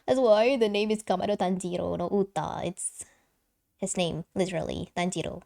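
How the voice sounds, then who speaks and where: A woman's voice: lowish voice, steady tone, normal speed, gravelly, dark tone. A young woman streamer with a light soprano voice, chatting casually and giggling, with lots of fillers and restarts. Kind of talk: casual live-stream chat.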